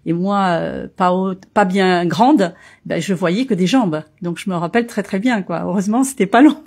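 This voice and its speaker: A woman's voice: fairly low pitch, normal speed, husky. A woman from Portugal whose first language is Portuguese, a guest telling her story in a casual interview with frequent hesitations. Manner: hesitant, many fillers, warm storytelling. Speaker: association member, guest.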